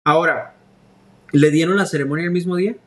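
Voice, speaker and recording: A man's voice: fairly high pitch, brisk. A man in his thirties hosting an interview over a video call, friendly and chatty, speaking into a large studio microphone in a small room. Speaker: host. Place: small room with grey wall. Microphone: large studio mic on arm.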